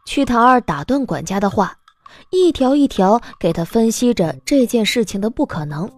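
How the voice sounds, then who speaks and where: A woman narrating an audiobook drama in an expressive, scripted storytelling style. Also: fairly high pitch, moderate pace, soft background music.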